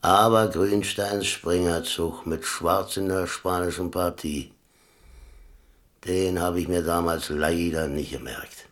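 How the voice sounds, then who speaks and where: Casting: wry ex-convict adventurer, radio play, low voice, colloquial, dry, musing delivery. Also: male voice, steady tone, takes it slowly, fairly bright tone. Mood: musing, rueful. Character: laconic, wry German ex-prisoner and adventurer telling his story. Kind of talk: radio drama acting and first-person narration.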